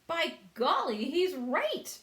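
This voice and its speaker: Woman doing a rowdy centipede, very high, loud. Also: big pitch swings, takes it slowly, roomy sound, heavily acted. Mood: excited. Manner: shouting. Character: loud excitable male centipede.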